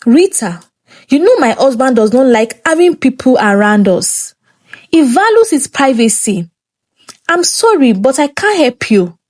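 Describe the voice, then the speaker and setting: A woman's voice: high-pitched, very animated, a little slow, a little mellow, full character voice. A Nigerian woman storyteller voicing a selfish, unwelcoming older sister with a curt, dismissive manner. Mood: uneasy, dismissive.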